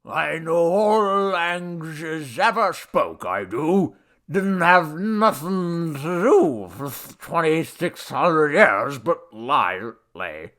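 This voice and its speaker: A man voicing a tipsy, boastful old Babylonian idol god from a story, with a slurred, booming, folksy drawl. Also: lively intonation, very slow, a bit bright, full character voice. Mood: drunken boasting.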